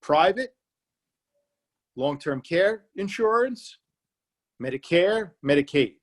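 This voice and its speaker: A man with an Irish accent and a slightly high voice speaks as an elder law attorney at a seminar. He is plain-spoken and comes back to key points again and again to drive them home. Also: expressive, slow. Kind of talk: public library seminar talk. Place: remote online presentation.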